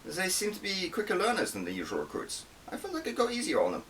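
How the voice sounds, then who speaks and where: A man's voice: sits high, wildly swooping pitch, fast talker, a bit bright, far from the mic, slight background noise, voice pushed up, heavily acted, poor audio. A man voicing a cocky, flirtatious combat instructor and spy in an audiobook, teasing and self-assured. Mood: surprised, defensive.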